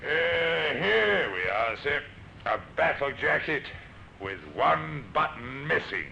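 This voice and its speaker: A man's voice: fairly high pitch, sing-song, slow pace, vocal fry, pushed and bright, exaggerated voice. An actor playing a dutiful male sergeant in an old radio drama. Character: dutiful gravelly male sergeant searching kit. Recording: muffled, noisy old radio recording.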